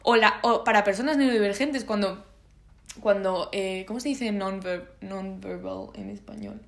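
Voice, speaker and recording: This is a nineteen-year-old woman with a Spanish accent from Spain, chatting on her own in a casual vlog. She rambles, restarts her sentences often and drops plenty of slang. Her voice sits at an ordinary middle pitch. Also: lively intonation, moderate pace. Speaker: vlogger.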